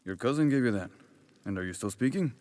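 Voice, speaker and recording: A man playing a grave, proud rebel warrior leader who speaks formally and deliberately in a video game cutscene. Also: mid-range voice, animated, a little mellow, no room sound, close to an everyday voice. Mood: dry, guarded. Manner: formal, deliberate, few contractions. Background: faint background music.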